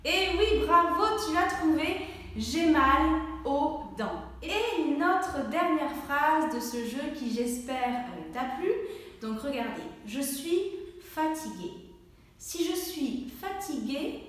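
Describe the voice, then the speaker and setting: High, slow-speaking woman teacher in an echoey room. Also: expressive, fairly bright tone. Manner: slow and clear, acting out symptoms with coughs and sneezes; addresses the viewer directly, encouraging. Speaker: French teacher. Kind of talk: language lesson for learners. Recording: very echoey room, voice from far off the mic.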